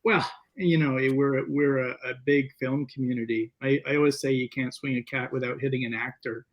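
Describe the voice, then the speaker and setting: A Canadian man talking casually as a filmmaker guest in an interview, plain-spoken and a little halting. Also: mid-range voice, fairly even intonation.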